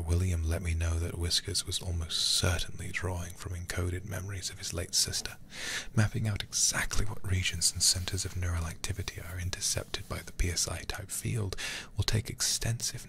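A man whispering softly close to the mic as an ASMR streamer, reading and commenting through a horror game. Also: deep voice, gravelly. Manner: soft, hushed whispering. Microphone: close ASMR microphone.

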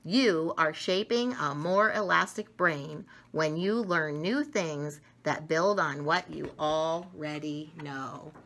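A middle-aged woman reading an educational children's book aloud with deliberate, emphatic phrasing for young listeners. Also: low-pitched, fairly bright tone. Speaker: storyteller reading picture books. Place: home dining room, indoors.

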